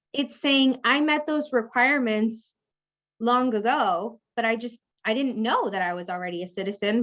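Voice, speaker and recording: A woman's voice: fairly high pitch, a bit bright, highs cut off. A woman law professor giving a conversational classroom lecture, acting out example speakers as she explains. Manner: conversational, acts out hypothetical speakers.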